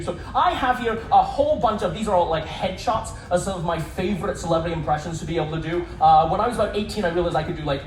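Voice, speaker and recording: A man's voice: high voice, a little hiss or hum. A man with a Scottish accent doing a chatty, self-mocking stand-up set on a cruise ship. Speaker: comedian. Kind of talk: stand-up comedy. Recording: echoey theater from far off, loud crowd. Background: audience laughter.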